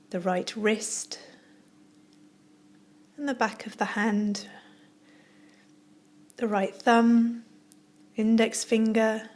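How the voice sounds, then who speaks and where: A woman guides a meditation as a yoga teacher would, at a very slow pace. Her mid-pitched voice is soft and soothing. She gives short phrases and leaves generous space between them. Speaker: yoga teacher guiding relaxation.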